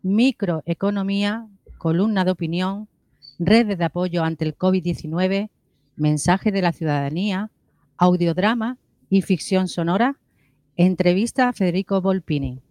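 A man's voice: high-pitched, measured pace, darkish tone, no echo. A man co-hosting a radio programme, introducing segments and putting questions to guests. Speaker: radio co-host.

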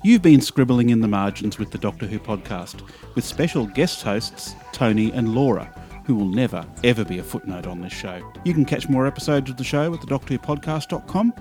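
A man's voice: medium pitch, expressive, a little fast, darkish tone, faint music behind. A man reading a scripted podcast outro as the show's announcer.

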